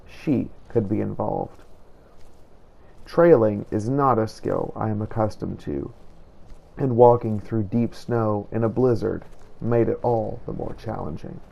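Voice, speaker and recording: Man playing a low, gravelly, solemn inquisitor. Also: mellow, lo-fi sound. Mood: grim, resolute. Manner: formal, measured, archaic storybook phrasing. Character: solemn righteous male vampire-hunting inquisitor. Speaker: video game player narrating in character. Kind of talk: scripted first-person roleplay narration.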